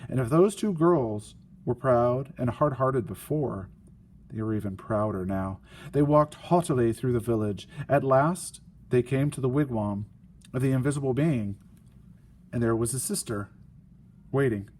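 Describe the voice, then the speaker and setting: A man reading a children's story aloud, with deliberate phrasing and dramatic emphasis. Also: mid-range voice, a little mellow. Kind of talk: expressive children's story narration. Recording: faint background noise and ambience.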